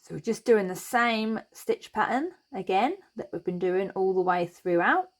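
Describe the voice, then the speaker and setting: A woman hosting a crochet tutorial, chatty and reassuring as she talks through each step, her voice a little croaky. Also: mid-range voice, lively intonation, normal speed. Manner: chatty and reassuring, thinks aloud while working. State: slightly croaky voice.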